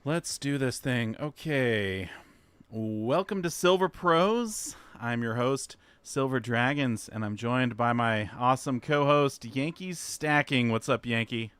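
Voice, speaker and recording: A man's voice: mid-range voice, lively intonation, takes it slowly, fairly bright tone, clear, resonant tone, dry recording. A man hosting a live stream, chatting casually in an easygoing way. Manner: easygoing, hesitant restarts. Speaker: host.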